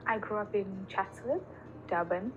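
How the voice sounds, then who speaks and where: Soft-spoken South African-born woman. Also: medium pitch, moderate pace, muffled recording, slight background noise. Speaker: interviewee. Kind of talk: recorded interview.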